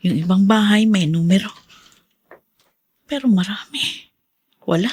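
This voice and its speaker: An actress playing a determined woman who thinks aloud in a radio drama, with a husky voice. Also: medium pitch, measured pace, a little mellow, dry recording. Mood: searching, relieved.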